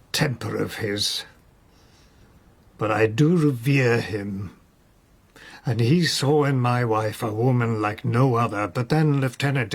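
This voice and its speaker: An older court noble, wry and whimsical, dodges with irony; radio play. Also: male voice, mid-range voice. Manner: musing, playful, gently ironic. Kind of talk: British radio drama acting.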